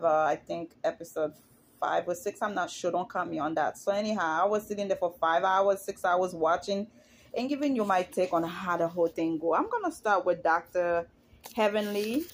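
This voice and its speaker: A woman from Haiti, giving her opinions on reality TV in a casual, chatty vlog and climbing in pitch when she gets indignant. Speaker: YouTuber commenting on reality TV.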